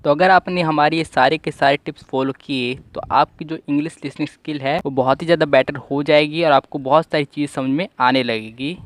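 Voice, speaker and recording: A young man hosting an online English-listening lesson, giving repetitive advice in a steady explanatory voice-over. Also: on the high side, a little fast, hardly any pauses, dry recording. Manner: repetitive, advising tone. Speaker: English-learning channel host.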